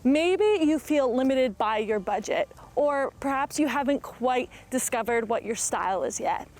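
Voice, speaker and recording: A woman speaking as the host of a home tour show, friendly and clear in her wording. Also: high-pitched, animated, measured pace, darkish tone. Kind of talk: warm conversational interviewing.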